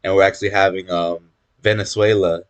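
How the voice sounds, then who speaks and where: A young man from California making casual conversation, relaxed, with lots of fillers and restarts. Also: deep voice, brisk. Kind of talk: casual chat.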